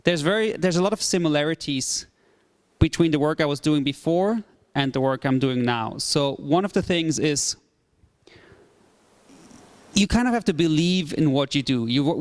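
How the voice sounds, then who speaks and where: A man from Switzerland, a startup CEO in his thirties, giving a relaxed presentation talk with many fillers and repeated phrases. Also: on the high side. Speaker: startup CEO, guest speaker.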